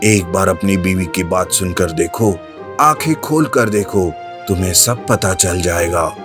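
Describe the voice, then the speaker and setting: A man acting a deep, measured cartoon holy man. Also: over music. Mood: calm, sage. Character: calm, wise male wandering holy man. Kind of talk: animated storybook character voice.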